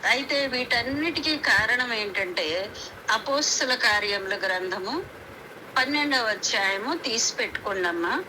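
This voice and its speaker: A woman's voice: on the high side, lively intonation, a little slow. Woman preaching earnestly over a noisy call. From India. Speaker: preacher leading a prayer meeting. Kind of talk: devotional sermon with scripture reading and prayer. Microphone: conference call. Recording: noisy conference call recording.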